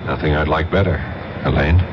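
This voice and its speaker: An actor playing a plain-spoken American federal detective in a radio drama, with a direct manner and American accent. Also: male voice, very deep voice, steady tone, fairly quick. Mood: firm, businesslike. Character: firm, easygoing American male federal narcotics detective. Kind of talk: 1970s radio drama acting. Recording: dull, faintly noisy 1970s radio recording.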